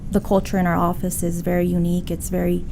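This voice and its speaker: A woman's voice: lowish voice, monotone, quick pace, gravelly, mellow, slight background noise. A woman whose first language is Spanish, a real estate broker speaking as an interview guest, full of "you know" fillers. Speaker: designated broker.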